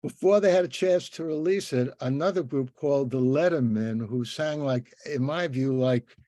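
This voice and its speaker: A man's voice: medium pitch, moderate pace, warm tone, dry recording. An older man hosting a conversational interview, talking in a rambling, anecdotal way.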